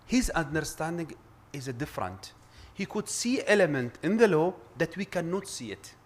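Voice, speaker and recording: A middle-aged man with an Arabic accent addresses a conference panel as an arbitrator, his voice sitting a little high for a man. He speaks earnestly and with emphasis, often breaking off to restart a word or repeat himself before pressing on. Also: very animated, moderate pace. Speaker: arbitrator and lawyer.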